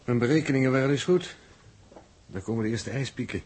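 An actor playing a precise, dryly confident spaceship navigator with clipped, factual delivery in a 1960s radio drama. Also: male voice, medium pitch, quick pace, darkish tone, breathy edge, close to an everyday voice. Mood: dry confidence. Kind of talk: 1960s Dutch science fiction radio drama acting. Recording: faintly noisy 1960s radio recording.

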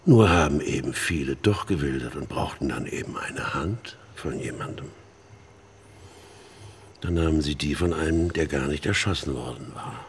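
Embittered middle-aged man, low voice, halting emphatic phrases, haunted, radio play monologue, noticeable background noise. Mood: grim, sardonic. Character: embittered older man haunted by his missionary sister's death.

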